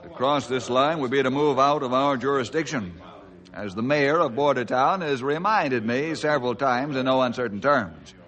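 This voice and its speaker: A man playing a calm, firm, law-abiding ranger leader in a radio drama, measured and matter-of-fact. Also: mid-range voice, close to an everyday voice. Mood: resigned calm.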